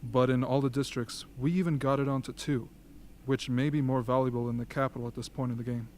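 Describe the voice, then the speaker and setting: Man as calm mid-pitched male strategist. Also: fairly quick, a little hiss or hum, natural voice. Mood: matter-of-fact. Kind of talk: amateur radio drama acting.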